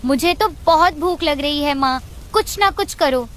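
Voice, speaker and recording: A woman's voice: high-pitched, normal speed, a bit bright. A woman voicing a childlike, pleading little daughter bird in a cartoon. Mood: hungry, pleading. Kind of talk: Hindi cartoon voice acting.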